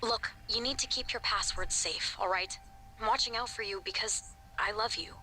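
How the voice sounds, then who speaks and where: A woman's voice: mid-range voice, normal speed, sharp tone, slight background noise, natural voice. An actress playing an affectionate young woman in a science-fiction game, scolding playfully in an intimate, teasing way. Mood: teasing, tender. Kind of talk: video game dialogue.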